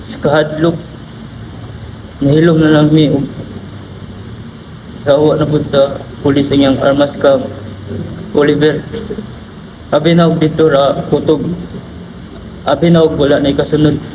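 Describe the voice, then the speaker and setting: A young man with a Filipino accent playing a sombre witness haltingly recounting events in an amateur drama, over background noise. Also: fairly high pitch, flat delivery, echoey room, lightly acted. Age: young adult. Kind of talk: amateur short film drama, halting monologue. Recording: noisy background.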